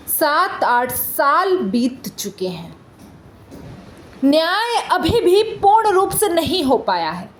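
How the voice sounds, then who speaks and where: A woman playing an impassioned, indignant prosecuting lawyer in a theatrical courtroom monologue, speaking with an Indian accent in deliberate, rhetorical phrases with dramatic pauses. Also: a little high, slight background noise, rough recording. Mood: grave, sorrowful.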